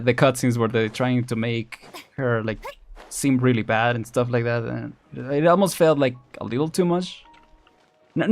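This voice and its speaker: A man chatting casually as a livestream host, rambling and hesitant, often repeating words and restarting as he thinks aloud. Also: mid-range voice. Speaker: streamer, vtuber host.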